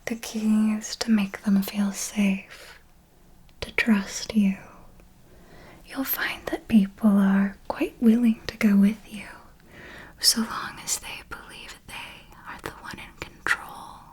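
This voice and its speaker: Woman playing a slow, whispering vampire mentor. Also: mid-range voice, steady tone, breathy edge. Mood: coaxing, predatory. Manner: slow, deliberate, hushed, doting yet menacing. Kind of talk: whispered ASMR roleplay.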